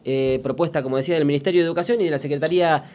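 A man's voice: fairly high pitch, fairly even intonation, a little mellow. Teasing Rioplatense man hosting. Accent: Rioplatense Spanish. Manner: teasing, quick, banters with guest. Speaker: host. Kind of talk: casual educational broadcast chat.

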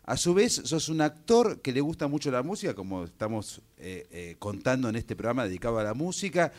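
A man with a Rioplatense Spanish accent hosting a music radio show, chatty and prompting his guest with quick questions. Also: mid-range voice, big pitch swings, a little mellow. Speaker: radio host. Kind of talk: casual radio interview.

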